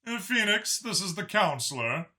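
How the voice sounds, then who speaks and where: A man's voice: high voice, big pitch swings, moderate pace, bright, forceful tone, voice pushed up, full character voice. A man playing an authoritative counselor speaking carefully and insistently over comms in an audio story. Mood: urgent, deliberate.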